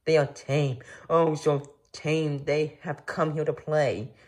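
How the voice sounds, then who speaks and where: A woman's voice: low-pitched, steady tone, slow, a little mellow. A woman voicing a playful, confident showman cat in a storybook, with breezy, showy announcing. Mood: breezy, reassuring.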